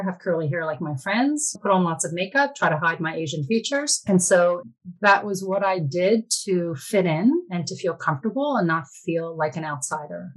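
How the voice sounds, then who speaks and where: A woman's voice: on the low side, slightly rough, poor audio. An American woman in her fifties, reflectively telling personal stories as an interview guest. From born in Korea, raised in the United States. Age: 50s. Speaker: interview guest, Korean adoptee.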